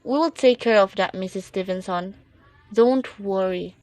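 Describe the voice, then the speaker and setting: A woman's voice: medium pitch, measured pace, darkish tone, no room sound, natural voice, poor audio. Young woman doing cop on duty in radio drama, placating but dismissive, mid-range voice. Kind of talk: student radio drama acting.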